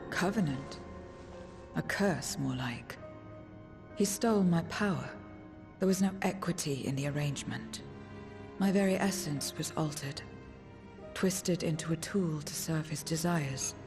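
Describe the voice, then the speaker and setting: Low voiced game void lady, aloof n solemn, short clipped lines, bit of music under it. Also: measured pace, pauses often. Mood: bitter. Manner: solemn, terse, guarded. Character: aloof, world-weary female voidsent returned to true form. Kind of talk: video game cutscene acting. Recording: music behind.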